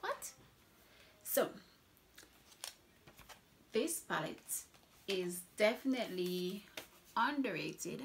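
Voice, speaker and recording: A young woman from Trinidad works as a makeup artist and reviews her products for a casual vlog. She talks slowly, with a gravelly fry, and stacks up repeated words to stress how much she loves something. A faint hiss of background noise sits under her voice. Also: medium pitch, animated. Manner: enthusiastic, repeats words for emphasis.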